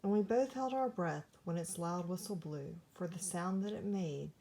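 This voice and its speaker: A woman reading a rhyming children's story aloud in an expressive, theatrical storytelling manner. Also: fairly low pitch, moderate pace, rough recording. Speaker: storybook reader.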